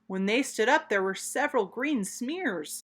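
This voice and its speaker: Bright, animated woman reading a storybook. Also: on the high side, measured pace, breathy edge. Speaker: children's storybook reader. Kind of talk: expressive read-aloud storytelling.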